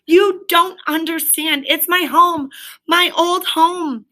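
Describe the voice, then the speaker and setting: Woman reading as a pleading, homesick little mole, high-pitched. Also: slow, heavily acted. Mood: anguished pleading. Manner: pleading, excited, urgent. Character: anguished, homesick mole, male, pleading with his friend.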